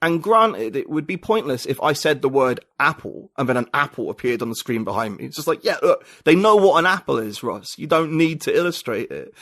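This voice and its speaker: A man's voice: a little high, expressive, brisk, hardly any pauses, fairly bright tone, dry recording, studio quality. A male writer and poet from the UK, a guest in a casual podcast interview, thinking aloud with many restarts and fillers.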